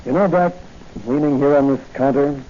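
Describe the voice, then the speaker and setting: A man's voice: on the high side, moderate pace, mellow, lightly acted. An actor playing an earnest young rookie policeman in the style of 1940s radio drama, on an old radio recording. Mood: earnest, concerned. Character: earnest young rookie patrolman, secretly a crime-fighter. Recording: dull, noisy 1940 radio recording.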